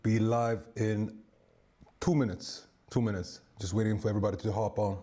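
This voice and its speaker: A man's voice: on the low side, normal speed. A man with a strong voice casually hosting a live stream, greeting viewers like friends. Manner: relaxed, addresses viewers as bro and my people. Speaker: livestream host.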